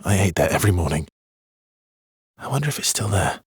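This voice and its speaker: Verso, a very husky, weary expeditioner. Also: male voice, sits low, very animated, a little fast, a little mellow, no room sound, studio quality, full character voice. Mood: guarded, matter-of-fact. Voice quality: husky, breathy. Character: Verso, weary guarded male expeditioner with quiet regret. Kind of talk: video game voice lines, dramatic acting.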